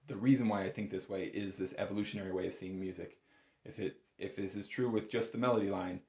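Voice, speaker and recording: A man's voice: lowish voice, darkish tone. A man giving an informal music lesson as an instructor, often correcting himself and stressing key words. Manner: hedging, self-correcting, emphatic on key words. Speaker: bagpipe instructor. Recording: roomy, echoing room sound.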